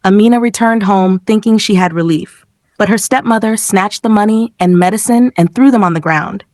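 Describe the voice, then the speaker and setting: A woman's voice: medium pitch, moderate pace, darkish tone, dry recording, studio quality. A woman reading a scripted, dramatic folktale as a storyteller.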